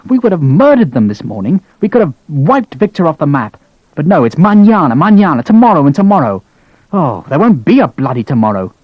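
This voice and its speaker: A man's voice: a little high, very animated, moderate pace, dry recording, dull audio. A man voicing a furious, exhausted British cavalry colonel who rants and curses. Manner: ranting, shouting, cursing.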